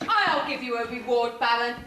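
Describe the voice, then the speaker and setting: A woman's voice: a little high, expressive, normal speed, sharp tone. A woman playing a brave, earnest young prince in a declamatory pantomime stage style. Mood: defiant. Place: amateur stage show in a hall. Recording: very noisy echoey hall from far off.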